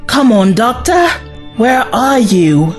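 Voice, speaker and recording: A woman's voice: fairly high pitch, sing-song, takes it slowly, over music. A woman playing an anxious, caring adventurer's companion in an audio drama. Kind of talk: fan audio drama acting.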